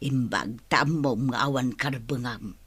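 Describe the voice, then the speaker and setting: A woman's voice: a bass voice, measured pace, a bit bright, breathy edge, dry recording, full character voice. A Filipino woman playing a worldly, pragmatic character in a radio drama. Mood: dismissive.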